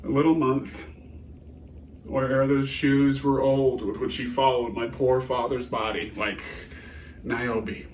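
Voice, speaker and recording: A man playing a grieving, embittered prince in a theatrical Shakespearean soliloquy, halting and declamatory, moving between hushed brooding and anguished outcry. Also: medium pitch, fairly even intonation, natural voice. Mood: bitter grief. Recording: roomy, distant microphone, faint noise.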